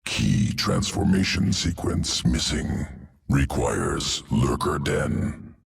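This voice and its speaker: A man's voice: extremely low pitch, animated, slow pace, exaggerated voice. A man playing an ancient, cold, menacing alien creature in a video game, with a guttural voice and clipped pronouncements. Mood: clinical, detached. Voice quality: gravelly, guttural. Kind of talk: video game cutscene acting.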